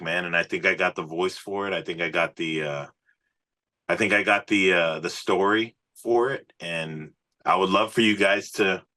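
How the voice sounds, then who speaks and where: A man's voice: low voice, sharp tone. A man speaking as a musician guest in a casual interview, earnest and informal, often dropping in "man" and "you know". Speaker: musician, singer, podcast guest.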